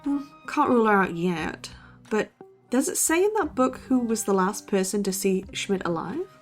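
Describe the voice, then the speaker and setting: A woman acts as a skeptical young college student co-hosting a true-crime podcast in an audio drama. Her voice sits at a middle pitch, with a dry, sardonic and analytical manner. Music plays faintly underneath. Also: lively intonation, normal speed, close to an everyday voice. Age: young adult. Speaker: podcast co-host. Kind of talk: scripted banter in a horror audio drama.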